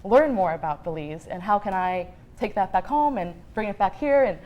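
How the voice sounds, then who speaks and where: A woman's voice: mid-range voice, lively intonation, normal speed, dull audio. A Sudanese American woman from Sudan answering interview questions conversationally as a guest. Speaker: fellowship guest, marketing professional.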